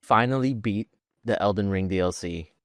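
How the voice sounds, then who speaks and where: A man's voice: on the low side, moderate pace, a bit bright, dry recording. A man co-hosting a podcast, chatting informally about video games in a self-deprecating way. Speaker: podcast co-host.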